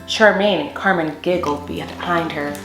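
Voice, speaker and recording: A young woman reading a children's picture book aloud as a storyteller, with deliberate, expressive phrasing in a small room. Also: sits low. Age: young adult. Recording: roomy echo, faint music underneath.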